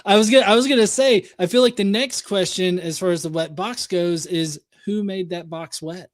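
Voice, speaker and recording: A man's voice: sits high, moderate pace, no room sound. A man hosts in a casual, jovial way, shifting easily between chatting and reading messages aloud. The sound is dry, with almost no room around the voice. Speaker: live stream host.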